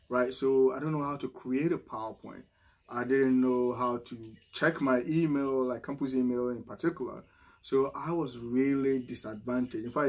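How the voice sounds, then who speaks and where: A middle-aged man from Ghana with a Ghanaian accent, talking casually to camera as a vlogger, often repeating words and tagging "right?" onto his sentences. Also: mid-range voice. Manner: conversational, frequent restarts and "right?" tag questions. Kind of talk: casual advice monologue.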